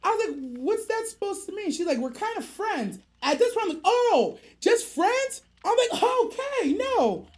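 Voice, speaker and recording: A woman's voice: extremely high pitch, very animated, normal speed, few pauses, a little mellow. A woman streamer telling a casual story in profane slang, acting out both sides of the dialogue.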